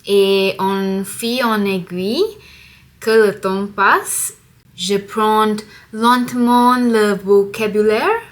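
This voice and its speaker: Slow-spoken woman vlogger. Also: mid-range voice, fairly even intonation. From Singapore. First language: not French. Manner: slow, careful learner's French with pauses. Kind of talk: casual vlog to camera.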